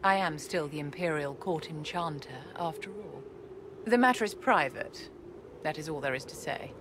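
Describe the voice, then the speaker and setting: A woman acting a haughty, genteel court enchantress from a fantasy video game. Her voice sits fairly low, and she speaks in measured, formal phrases with lofty courtesy. Clear background noise and ambience surround her. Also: close to an everyday voice. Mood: composed, guarded.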